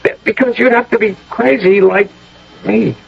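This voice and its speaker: A man acting a scared, evasive young common soldier in a radio drama, husky, stammering and folksy. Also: high voice, moderate pace, exaggerated voice. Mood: cornered, pleading. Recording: muffled old radio broadcast.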